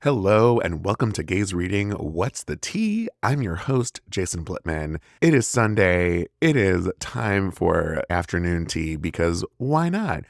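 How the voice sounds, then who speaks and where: A man's voice: sits low, sing-song, measured pace, gravelly, hardly any pauses, dry recording, clean studio sound. An American man hosting a podcast with an enthusiastic, gushing manner, moving between scripted intro and casual chat. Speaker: podcast host.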